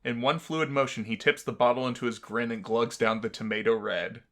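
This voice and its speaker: A man's voice: mid-range voice, steady tone, brisk, fairly bright tone. A man reading a visual novel aloud as a gaming host, in a storytelling manner.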